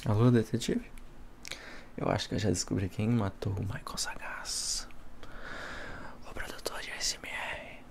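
A young man with a Brazilian accent playing a smug, playful devil in a soft-spoken, teasing ASMR roleplay. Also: on the low side, fairly even intonation, unhurried, vocal fry, pauses often. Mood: intrigued, playful. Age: young adult. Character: smug, playful devil helping police as a consultant. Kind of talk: ASMR roleplay, soft talk mixed with whispering.

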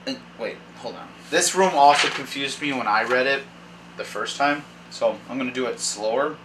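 A young man narrating as dungeon master at a kitchen table, reading room descriptions haltingly and thinking aloud. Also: medium pitch, noisy background. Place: dim home kitchen at a table. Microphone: far camera.

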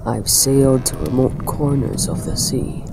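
A man's voice: mid-range voice, animated, unhurried. A man playing a commanding, roguish yet protective pirate captain with nautical slang in an audio roleplay. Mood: calm, guarded. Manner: authoritative, uses nautical slang. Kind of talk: dramatic audio roleplay acting. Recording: clear background ambience noise.

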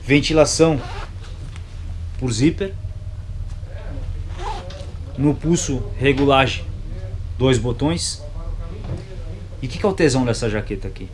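A man's voice: mid-range voice, a little slow, pauses often. Young Brazilian man, noisy shop recording. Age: young adult. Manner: informal, slangy, short clipped phrases. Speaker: product reviewer. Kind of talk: casual product review to camera. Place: indoors in a motorcycle gear shop. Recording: clear background noise, shop ambience.

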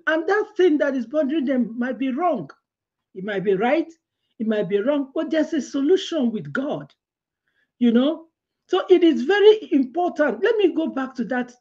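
A middle-aged woman giving a devotional sermon from a small room at home. She tells her stories with lively hand gestures and laughs along the way. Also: high voice, moderate pace, lo-fi sound. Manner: animated storytelling with hand gestures, laughs through her anecdotes. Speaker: preacher.

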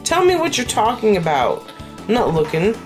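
A woman's voice: mid-range voice, wildly swooping pitch, normal speed, over music. A woman co-hosting a gaming show, bantering casually and sardonically as she watches the game. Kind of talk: casual banter over video game play.

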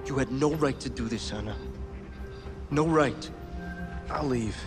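A man's voice: medium pitch, a little slow, close to an everyday voice. A man playing a stern, sarcastic older brother and mechanic in a naturalistic video game scene. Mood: angry, scolding. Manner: sarcastic, exasperated. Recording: music behind.

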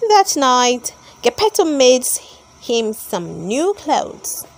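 A woman reading a children's story aloud in an expressive, deliberate storytelling manner. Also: high voice. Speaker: storybook reader.

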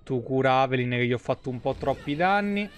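A man's voice: medium pitch, lively intonation, a little fast, soft background music. A man, giving casual, playful and slangy live commentary on a game. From Italy. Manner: playful, slangy, mock exclamations. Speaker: game livestreamer. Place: small indoor room, streaming setup.

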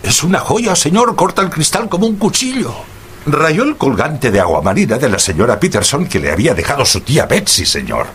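An actor playing a humble, excitable working man who speaks earnestly and deferentially in a radio drama. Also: fairly high pitch, brisk, slight background noise. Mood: awed.

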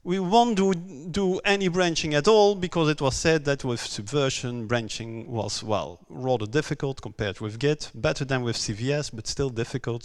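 Belgian man giving a hesitant conference talk. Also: a little high. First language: not English. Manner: hesitant, many "um" and repeated words. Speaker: conference speaker. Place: conference room on a stage.

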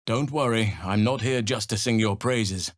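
Deep, measured elf druid, voiced by a man. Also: no room sound, clean studio sound, natural voice. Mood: warm, reflective. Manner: measured, formal, solemn phrasing. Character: ancient, earnest male elf druid, calm and nature-loving. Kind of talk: video game character dialogue.

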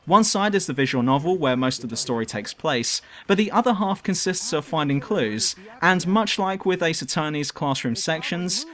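A man's voice: a little high, expressive, normal speed, few pauses, fairly bright tone. A man reading scripted review narration as a video reviewer.

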